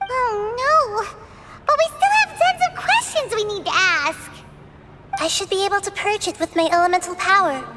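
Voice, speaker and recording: A woman voicing a chirpy, excitable little girl companion in a video game, exclaiming often and quick to reassure. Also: very high-pitched. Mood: alarmed. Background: game music.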